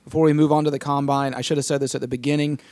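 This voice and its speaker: Host with a voice still recovering. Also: male voice, a little high, level tone, a little mellow, dry recording. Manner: leads the talk, quick bursts of questions. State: voice recovering from illness. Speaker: podcast host. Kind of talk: casual sports podcast chat.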